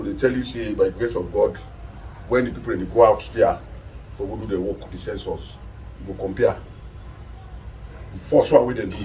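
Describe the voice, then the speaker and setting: Older headman, Krio accent, low voice, animated; distant mic, light noise. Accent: Sierra Leonean Krio. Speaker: village headman chairman. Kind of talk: acceptance speech. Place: office, indoors.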